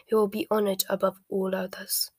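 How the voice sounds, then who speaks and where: Woman reading as a cold, flat-voiced male spy. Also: slight background noise, close to an everyday voice. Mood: flat, stern. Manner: flat, low, measured. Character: cold, controlled male spy, grave and curt.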